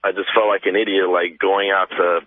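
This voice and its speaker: A man's voice: sits low, flat delivery, moderate pace, slight background noise. A young man calling a radio show, telling a rambling, self-deprecating story in casual speech. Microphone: telephone. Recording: narrow dry phone line.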